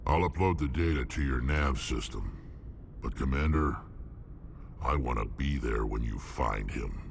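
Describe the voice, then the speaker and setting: Game role: hulking battle-worn alien warrior, bottomless growl, slow blunt delivery, proud and gruff. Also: male voice, slight background noise, exaggerated voice. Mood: grim, determined. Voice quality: very deep, gravelly. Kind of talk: video game cutscene acting.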